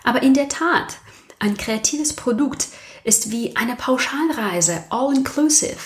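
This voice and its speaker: A woman's voice: high voice, expressive, unhurried. A young woman with a slightly raised voice speaks German with a non-native accent. She hosts her own podcast, reflecting earnestly and turning to her listeners with direct questions. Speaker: podcast host. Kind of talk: personal reflective podcast monologue.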